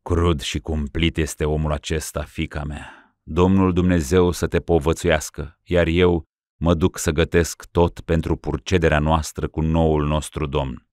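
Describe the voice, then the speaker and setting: A stern old churchman, solemn and rebuking, as a man puts him on. The voice is deep and close, recorded dry, with a grave, measured delivery. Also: flat delivery, darkish tone, no echo. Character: stern elderly male metropolitan bishop.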